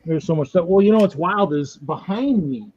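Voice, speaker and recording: 50s guy rambling and joking around, voice a bit high, sound kinda dull. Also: expressive, moderate pace, a little mellow, slightly rough. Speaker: stream panelist. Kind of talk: casual chat. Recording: dull lo-fi audio.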